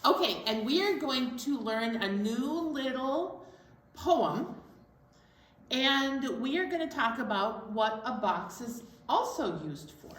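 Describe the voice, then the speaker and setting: Lively, high-voiced woman presenting to children. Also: takes it slowly. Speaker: children's storytime co-host. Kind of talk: simple presenting to young children. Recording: echoey room, faint background noise.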